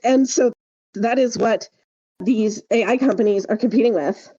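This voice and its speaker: Female podcast co-host, high and soft-toned, emphatic explainer in casual discussion, slightly dull recording. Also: moderate pace, slightly rough. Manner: emphatic, explanatory, often checks with "right?".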